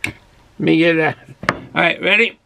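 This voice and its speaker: A man's voice: on the high side, moderate pace, gravelly, bright, forceful tone, slight background noise. A man in his sixties from Boston with a thick Boston accent, chatting casually and rambling a little as he reviews for the camera. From Boston, United States. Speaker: beer reviewer. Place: small cluttered indoor hobby room.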